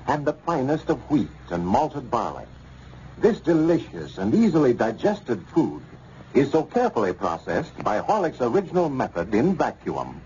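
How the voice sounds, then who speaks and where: A man's voice: medium pitch, darkish tone. An American man announcing from a script in polished, emphatic 1930s radio style. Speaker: radio announcer and sponsor pitchman. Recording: muffled, noisy old radio recording.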